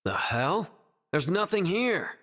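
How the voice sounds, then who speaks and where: A man's voice: high-pitched, very animated, slow pace, fairly bright tone, exaggerated voice. A man playing a blunt, impatient teenage boy in a video game, speaking in a brusque, casual way. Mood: irritated, demanding.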